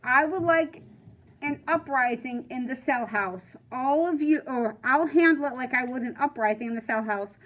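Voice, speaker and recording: Woman putting on a barking, high-voiced stern warden. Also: normal speed, fairly bright tone, highs cut off. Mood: cold, threatening. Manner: emphatic, barking, scolding. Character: stern, indignant male prison warden, cold and commanding.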